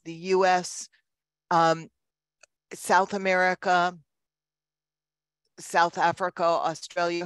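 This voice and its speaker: Older woman, clear dry headset voice. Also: mid-range voice, no room sound. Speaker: guest lecturer, genealogy researcher. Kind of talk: informal question-and-answer talk. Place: indoors on a video call. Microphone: headset microphone.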